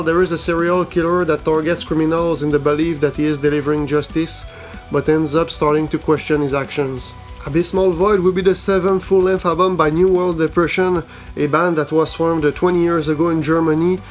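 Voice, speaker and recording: Male host, flat measured read, highish voice, soft guitar music under it. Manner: even, measured reading. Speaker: host of a metal news show. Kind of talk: scripted album-release roundup.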